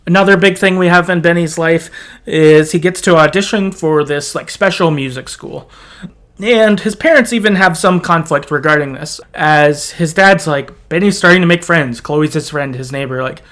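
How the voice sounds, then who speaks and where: Casual podcast host, mid-pitched voice. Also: normal speed, a bit bright. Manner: informal, chuckles often, loose retelling. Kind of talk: casual recap of a video game story.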